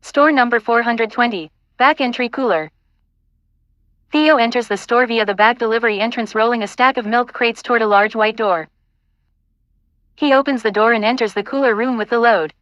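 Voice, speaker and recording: A woman's voice: fairly high pitch, normal speed, a bit bright, no echo, muffled recording. A woman reading scene directions as the scripted narrator of an audio play.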